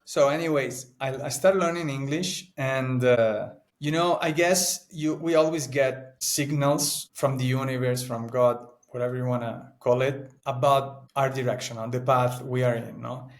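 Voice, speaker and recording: Italian guy in his 30s, talks english slowly and earnestly, searching for words, lots of "no?". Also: medium pitch, steady tone. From Italy. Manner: earnest, searching for words, frequent restarts and "no?" tags. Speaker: guest, actor and painter. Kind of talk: reflective interview talk.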